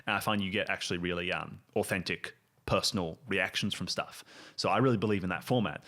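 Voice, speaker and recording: Low-voiced man thinking out loud. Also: quick pace. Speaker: show creator and game master. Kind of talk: casual chat and improvised game narration.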